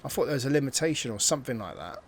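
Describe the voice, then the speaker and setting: A man's voice: mid-range voice, racing, a little hiss or hum. Chatty man commentating a game. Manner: chatty, excitable, thinks aloud while playing. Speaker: gameplay streamer. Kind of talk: casual let's-play commentary.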